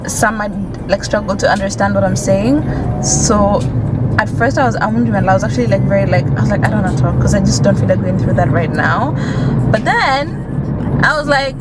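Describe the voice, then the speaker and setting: A woman's voice: mid-range voice, a little fast. A young woman with a Kenyan accent talking casually and candidly as she drives, with many fillers. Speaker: vlogger. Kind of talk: casual chat while driving. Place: in a car on city streets. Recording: loud road noise in a car.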